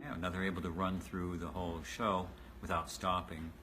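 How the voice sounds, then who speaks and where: A man's voice: sits low, little pitch movement, normal speed, loud music behind the voice. A man talking behind the scenes as a puppet show director, explaining things in loose, hands-on everyday terms. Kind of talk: behind-the-scenes interview talk. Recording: clear background noise, rehearsal room ambience.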